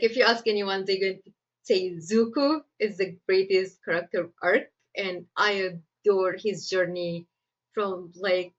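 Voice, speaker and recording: A woman's voice: medium pitch, muffled recording. A woman from Egypt with an Egyptian accent, a screenwriter answering interview questions in a chatty way, with many fillers and frequent laughs. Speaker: screenwriter guest.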